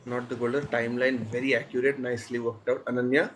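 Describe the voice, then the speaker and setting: A man's voice: medium pitch, little pitch movement, fast, slight background noise, low-quality recording. A man with an Indian English accent hosting an online quiz, reading questions steadily and chatting between answers. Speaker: quizmaster.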